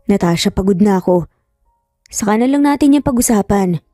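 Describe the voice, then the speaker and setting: A woman's voice: medium pitch, animated, brisk, dark tone, no room sound, soft background music, close to an everyday voice. A woman voicing a tired, irritable young man in an audio love story, sounding exasperated. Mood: weary.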